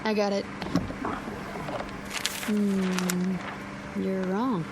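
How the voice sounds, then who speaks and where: An actress playing a sharp, questioning and playful young newlywed wife in a radio drama. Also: female voice, mid-range voice, very slow. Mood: dry, teasing. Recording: steady car road noise behind.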